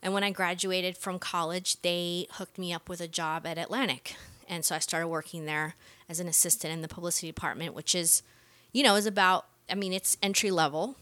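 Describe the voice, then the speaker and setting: Bright-voiced middle-aged woman telling stories casually. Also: lowish voice, fairly even intonation, brisk, no room sound. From Costa Rica. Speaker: podcast guest, music manager. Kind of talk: casual conversational storytelling.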